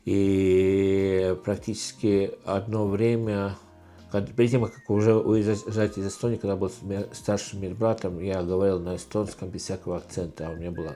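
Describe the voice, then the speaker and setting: A middle-aged man from Estonia with an Estonian accent, talking casually in a question-and-answer vlog, halting with many fillers and restarts. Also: low voice, flat delivery, warm tone, faint music behind. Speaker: channel host.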